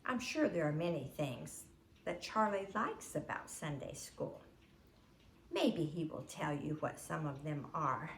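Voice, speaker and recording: A woman's voice: sits low, lively intonation, a little slow, roomy sound, a little hiss or hum, pitched below the performer's own voice. A woman voicing a prim, formally polite Sunday school teacher from a storybook. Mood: politely strained.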